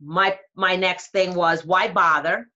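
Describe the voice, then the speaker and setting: A woman's voice: on the low side, fairly even intonation, takes it slowly, sharp tone, highs cut off. A woman motivational speaker coaching someone in conversation, asking pointed questions and repeating key phrases for emphasis.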